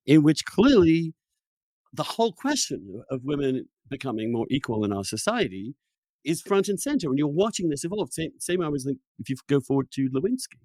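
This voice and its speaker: English man, halting, digressive podcast interviewer. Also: fairly high pitch, very animated, darkish tone, dry recording. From England. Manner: halting, repeats words and restarts often, digressive. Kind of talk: conversational interview.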